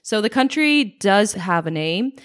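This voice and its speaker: A young woman co-hosting a casual chat, playful and quick to laugh. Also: medium pitch, lively intonation, no room sound, clean studio sound. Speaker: co-host.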